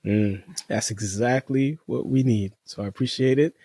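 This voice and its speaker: A man hosting a podcast, introducing and interviewing a guest in a relaxed, appreciative way with casual fillers. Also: mid-range voice, moderate pace, breathy edge, no room sound. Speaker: podcast host.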